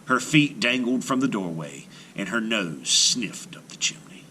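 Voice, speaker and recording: A male storyteller narrates a children's tale with theatrical emphasis. His mid-pitched voice swings widely and has a very bright, forceful edge, over faint noise. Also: big pitch swings, unhurried, rough recording. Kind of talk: dramatic children's read-aloud narration.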